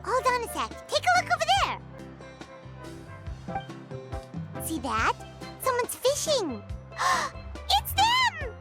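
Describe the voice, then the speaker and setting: A woman voicing a small, boastful, childlike game companion with a squeaky, excitable delivery. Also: slow pace, pauses often, exaggerated voice. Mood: surprised. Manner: excitable, refers to herself by name. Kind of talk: video game character acting. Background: game music.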